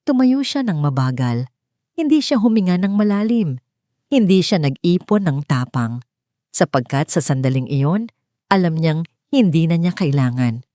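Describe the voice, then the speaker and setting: Low-pitched woman narrating, mellow and dry. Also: big pitch swings, normal speed, no room sound. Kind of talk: scripted dramatic story narration.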